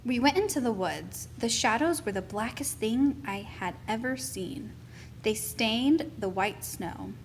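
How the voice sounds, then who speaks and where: A woman reads a children's story aloud in a fairly high voice. She takes it very slowly and deliberately, shaping each phrase as storytelling. There is a faint hiss of noise in the recording. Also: animated. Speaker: reader of a children's picture book aloud. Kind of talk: expressive storybook read-aloud.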